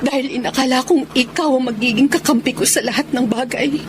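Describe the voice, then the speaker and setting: Actress as a high-voiced, resolute wronged wife. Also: female voice, fairly quick, background noise, natural voice. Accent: Filipino. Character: wronged wife Samantha, resolute, standing up to abusive husband. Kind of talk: Filipino radio-style melodrama acting.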